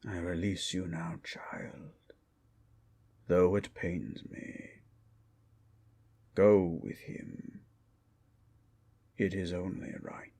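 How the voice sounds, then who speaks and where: Big proud red dragon for asmr roleplay, super slow n grand, husky gravelly voice. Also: male voice, low voice, flat delivery, warm tone, heavily acted. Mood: solemn, tender. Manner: slow, grandiose, formal dramatic delivery. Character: ancient proud red dragon, menacing yet tender protector. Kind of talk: improvised fantasy ASMR roleplay.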